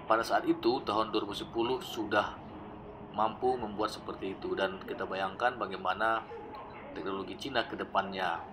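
A man narrating his old travel footage in a relaxed, explanatory voice-over, often pausing with fillers. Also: lowish voice, background noise. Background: background music.

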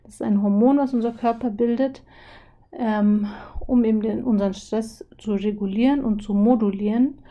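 Hoarse woman explaining things casually. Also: a little high, steady tone, warm tone, dull audio. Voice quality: husky. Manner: chatty, many filler pauses. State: hoarse from a cold. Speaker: video host. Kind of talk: casual explanatory talk over drawings.

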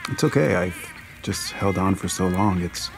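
Gravelly, heartbroken earnest cook. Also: male voice, low-pitched, lively intonation, normal speed, over music. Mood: wistful, resigned. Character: heartbroken, earnest, wry male restaurant cook. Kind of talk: naturalistic audio drama acting.

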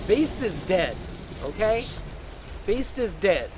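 A man's voice: high voice, very animated, takes it slowly, noisy background. A man improvising first-person video game roleplay in an emotional, dramatic way, repeating short exclamations. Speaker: gaming video creator.

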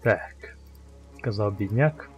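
A man giving casual, sweary let's play commentary on a fantasy game, excitable in the way he talks. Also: fairly low pitch, slow, faint music behind. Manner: foul-mouthed, excitable. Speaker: gamer playing a fantasy game.

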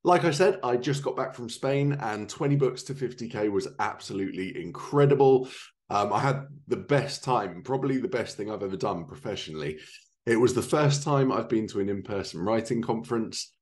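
A man's voice: on the low side, lively intonation, fairly quick, a little mellow. A man in his thirties presenting a podcast in a chatty way from a living room at home. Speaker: podcast host.

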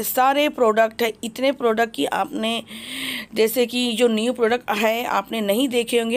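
Enthusiastic woman vlogging in a shop. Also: on the high side. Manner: enthusiastic, repetitive praise, promotional. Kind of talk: shopping vlog walkthrough. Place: crowded kitchenware shop, indoors.

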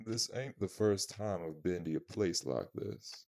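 A man playing a blunt but protective male vampire in an intimate audio roleplay, speaking gruffly and informally. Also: low voice, unhurried, darkish tone. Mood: dry, challenging.

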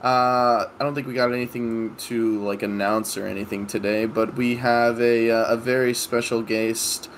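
A man's voice: mid-range voice, level tone, slight background noise. A young American man hosting a podcast, chatting casually, joking and swearing. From United States. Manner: joking, swearing, quick bursts of laughter. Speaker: podcast host.

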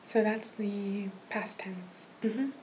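Slow, soft-whispering woman tutor. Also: medium pitch, steady tone, a little hiss or hum, rough recording. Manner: soft, patient, encouraging whisper. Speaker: language tutor. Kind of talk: whispered ASMR tutoring roleplay.

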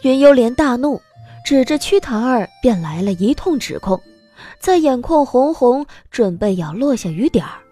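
A woman narrating a scripted audio drama in an expressive storytelling style. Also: a little high, normal speed, soft background music.

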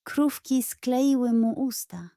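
A woman narrating a bedtime story for children in a gentle, expressive way, close to the mic. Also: high-pitched, slow pace, no echo.

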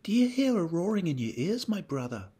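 A woman's voice: on the low side, sing-song, brisk, warm tone. A woman voicing a small, timid but curious male mouse in a storybook tale, speaking earnestly and with wonder. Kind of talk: storybook character acting.

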